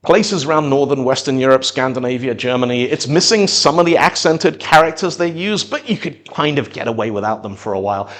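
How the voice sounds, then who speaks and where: A middle-aged man from Zimbabwe and the UK giving a conversational tech talk, telling it like a story with emphatic pauses. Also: medium pitch. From born or raised in Zimbabwe, moved to the UK at ten. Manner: animated, acts out imagined reactions with emphatic pauses. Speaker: conference speaker.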